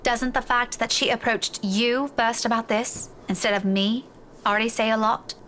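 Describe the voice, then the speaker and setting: Bright, high-pitched earnest young woman, voiced by an actress. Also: fairly even intonation, moderate pace, slight background noise, natural voice. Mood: insistent. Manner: earnest, imploring. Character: earnest, worried young woman pleading with male friend. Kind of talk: visual novel game voice acting.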